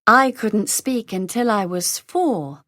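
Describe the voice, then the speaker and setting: Woman, lesson dialogue reader: unhurried, pitch rising and falling a lot, dry close sound. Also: lively intonation, bright, forceful tone, dry recording. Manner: slow, carefully enunciated, lines read three times over. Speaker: dialogue reader for English learners. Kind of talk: scripted lesson dialogue, each line repeated.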